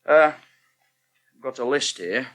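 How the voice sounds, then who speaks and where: An actor playing a defensive, straight-talking former boyfriend in a naturalistic radio soap, direct and terse. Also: male voice, mid-range voice, lively intonation, a little slow, a bit bright.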